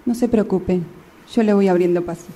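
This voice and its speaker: Actress as dark-voiced, helpful woman passenger. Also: medium pitch, fairly quick, natural voice. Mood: helpful, eager. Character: helpful, polite female fellow subway passenger. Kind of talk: radio theatre acting. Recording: noisy amateur workshop recording.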